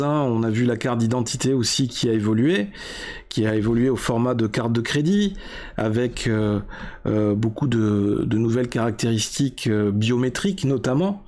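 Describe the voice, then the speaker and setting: A man's voice: medium pitch, a little mellow. A man in his forties hosting a tech show, explaining casually with an ironic, joking tone and frequent hesitations. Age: 40s. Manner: chatty, ironic, lots of filler hesitations.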